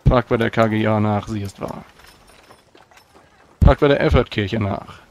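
A man's voice: fairly low pitch, a little slow. A man playing a tabletop roleplaying game, speaking in solemn, formal in-character speech.

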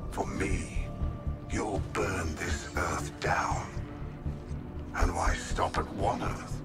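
Slow, pompous alien supervillain. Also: male voice, low-pitched, loud music behind the voice. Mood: coldly possessive. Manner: theatrical, pompous, deliberate. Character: arrogant, coldly grandiose alien mastermind villain. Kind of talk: dramatic video game villain monologue.

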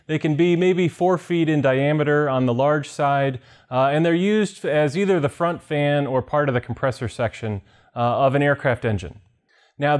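Higher-pitched man giving a technical explainer. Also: a little mellow. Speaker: company executive presenting a technical explainer. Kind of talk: explanatory whiteboard lesson. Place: indoor video studio with whiteboard.

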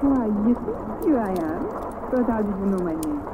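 A woman's voice: mid-range voice, lively intonation, moderate pace, close to an everyday voice. A woman playing a young, trusting actress wife in an old-time radio drama, with a melodramatic, exclaiming delivery. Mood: flattered. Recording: thin phone-like vintage radio, heavy noise.

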